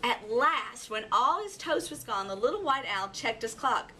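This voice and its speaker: A woman narrating a children's storybook in an expressive, dramatic way. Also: fairly high pitch, moderate pace. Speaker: storybook reader. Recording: distant mic, faint background noise.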